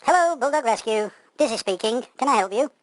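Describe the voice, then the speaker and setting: A woman voicing a brisk, helpful male rescue-line operator answering the phone in a pantomime story. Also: a little high, animated, slight background noise, voice pushed up, lightly acted, poor audio.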